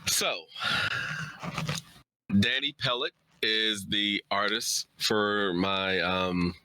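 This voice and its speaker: A man's voice: on the low side, steady tone, drawn-out words, bright, forceful tone, slight background noise, low-quality recording. A man talking casually on a livestream as a co-presenter, informal and easygoing in how he speaks. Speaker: writer and streamer, co-presenter.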